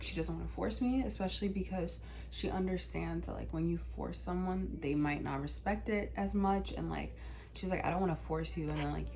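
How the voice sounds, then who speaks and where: A young woman in her twenties, from Washington, D.C., talking straight to her camera in a relaxed, candid vlog. Her voice sits a little on the low side and she rambles with lots of "like" and "um", with a faint hiss behind her. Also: creaky. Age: 20s. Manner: candid, chatty, many fillers like "um" and "like". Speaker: vlogger. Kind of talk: casual personal storytelling.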